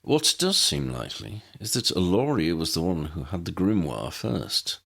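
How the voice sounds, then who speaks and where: A man voicing a bookish, courtly uncle in an audiobook, measured, wordy and wry. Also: low-pitched, sing-song, vocal fry, a bit bright, pitched below the performer's own voice, heavily acted. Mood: pondering. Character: bookish, courtly uncle with ornate speech and calm wit.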